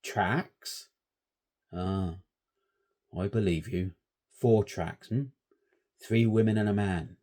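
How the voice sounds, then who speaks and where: A man voicing a grave, superstitious old shepherd who talks slowly in rustic dialect. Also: lowish voice, darkish tone.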